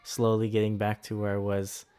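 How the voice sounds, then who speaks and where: A man's voice: on the low side, monotone, normal speed, mellow, smooth. A man in his twenties, co-hosting a podcast in a laid-back, rambling way, often laughing. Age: 20s. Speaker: podcast co-host. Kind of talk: casual chat.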